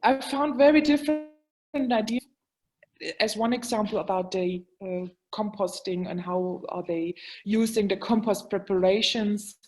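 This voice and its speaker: A woman's voice: medium pitch, measured pace, a little mellow, low-quality recording. Woman talking english in an interview, lots of ums, hunting for words. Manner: hesitant, many fillers, searching for words. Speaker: guest, wine expert. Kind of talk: casual interview talk.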